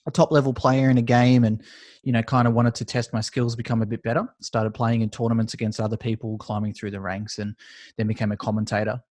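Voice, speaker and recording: An Australian man in his thirties, a podcast guest talking in a relaxed, rambling and friendly way with many filler words. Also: medium pitch, fast talker, no room sound. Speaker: guest, esports business founder. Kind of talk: casual interview chat.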